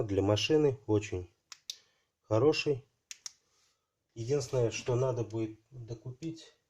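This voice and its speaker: A man hosting a casual unboxing video, talking haltingly and thinking aloud while his voice is affected by a cold. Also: on the low side, warm tone. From Russia. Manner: halting, thinking aloud, many fillers like "so" and "there". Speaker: channel host unboxing parcels.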